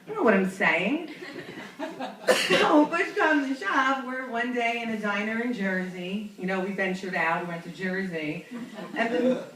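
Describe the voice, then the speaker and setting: A woman's voice: mid-range voice, expressive, unhurried, fairly bright tone, reverberant. A young woman comic from New York tells a rambling, self-mocking story from a club stage. She chats along and laughs at her own punchlines. The mic sits some distance away, and the room rings around her. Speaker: stand-up comedian. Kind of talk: stand-up comedy storytelling.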